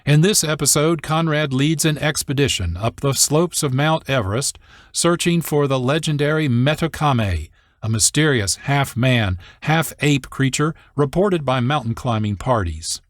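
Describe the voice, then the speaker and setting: A man hosting a radio program, reading scripted, explanatory narration in a measured way. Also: medium pitch, dry recording, studio quality. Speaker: radio show producer and host.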